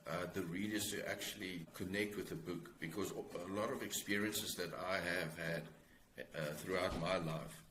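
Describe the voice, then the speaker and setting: A man in his forties with a South African accent, talking reflectively as an interview guest in a hesitant, halting way. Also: sits low, fairly even intonation, roomy sound, background noise. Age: 40s. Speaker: guest author.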